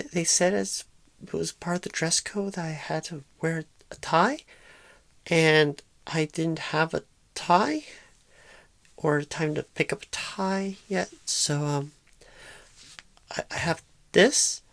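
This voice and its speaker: A woman playing a shy, inexperienced, nervous job recruiter in an ASMR roleplay, halting and stammering in a soft-spoken, awkward way. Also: low-pitched, creaky, dry recording, full character voice.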